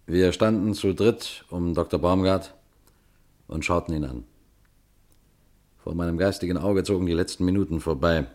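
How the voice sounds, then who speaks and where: An actor playing a calm older police detective who narrates a radio play and speaks straight to the listeners in a measured way. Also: male voice, deep voice, lightly acted. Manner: measured, addresses listeners directly.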